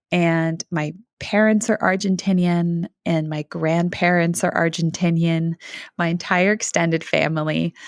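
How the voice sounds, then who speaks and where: A woman in her thirties with a lowish voice, talking in a reflective, thoughtful way as a podcast guest. She fills pauses with "um" and small laughs. The sound is close and dry. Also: dry recording. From Canada. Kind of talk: conversational interview.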